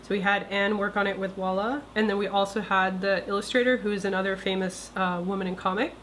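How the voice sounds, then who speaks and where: A woman's voice: mid-range voice, steady tone, fast talker, fairly bright tone. A woman giving an informal spoken introduction as the presenter of a team project. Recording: faint ambient room noise.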